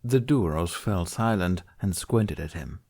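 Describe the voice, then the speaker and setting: A man's voice: low-pitched, expressive, unhurried, a little mellow. A man narrating scripted fiction as an audiobook reader.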